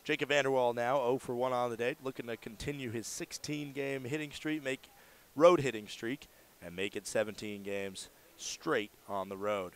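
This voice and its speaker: Male baseball radio announcer, mid-pitched, smooth and crisp, live play-by-play. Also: fairly even intonation, moderate pace. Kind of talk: live sports commentary.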